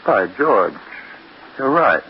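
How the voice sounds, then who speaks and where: A man's voice: mid-range voice, big pitch swings, takes it slowly. An actor playing a stubborn, irritable chemist obsessed with his work, curt and imperious in 1930s radio drama style. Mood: impatient, scornful. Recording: dull, faintly noisy old radio recording.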